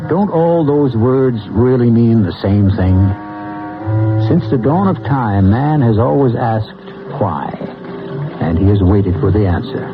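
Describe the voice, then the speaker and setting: A man's voice: mid-range voice, lively intonation, slow. An American man as a radio drama host, giving scripted suspense narration in a wry, theatrical, rhetorical manner. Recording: muffled old radio broadcast, music.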